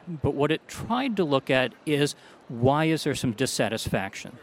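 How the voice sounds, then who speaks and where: A man, a doctor, answering interview questions about a study in a measured, explanatory way. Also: a little high, a little hiss or hum. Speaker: doctor, allergy specialist.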